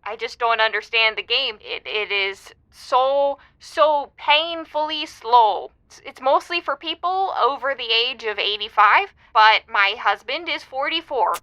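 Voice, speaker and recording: A woman's voice: on the high side, a little slow, hardly any pauses, pushed and bright, no room sound. A woman playing a chirpy, aggrieved married meteorologist who calls a radio show in an improvised audio comedy, gushing and hesitant. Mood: baffled, aggrieved. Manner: gushing, giggly, hesitant phrasing.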